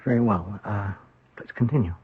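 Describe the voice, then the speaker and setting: An actor playing a calm, patient psychiatrist with a husky voice in a 1970s radio drama. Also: male voice, on the low side, normal speed. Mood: calm, attentive. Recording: dull, lo-fi old radio recording.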